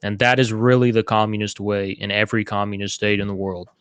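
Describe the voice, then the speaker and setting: A man's voice: on the low side, flat delivery, a little fast, a bit bright, smooth, dry recording. A man speaking in a live online political debate, arguing emphatically and repeating himself, with some swearing. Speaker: debater leading a political group. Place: live online audio chat room.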